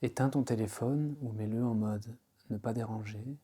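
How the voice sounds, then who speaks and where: Male yoga nidra guide, medium pitch, soft warm timbre, calm instructional delivery with spacious pauses. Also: slightly rough. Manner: slow, soothing, unhurried instruction with pauses. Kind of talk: guided relaxation meditation.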